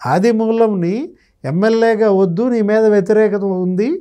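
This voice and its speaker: High-pitched, mellow middle-aged man. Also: moderate pace, clear, resonant tone. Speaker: senior journalist, guest analyst. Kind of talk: conversational political commentary. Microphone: lapel mic.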